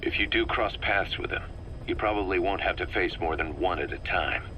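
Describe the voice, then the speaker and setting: An actor playing a male mercenary commander who gives a brisk, direct briefing full of blunt warnings. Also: low voice, bright, forceful tone, slight background noise, poor audio. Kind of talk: video game voice acting.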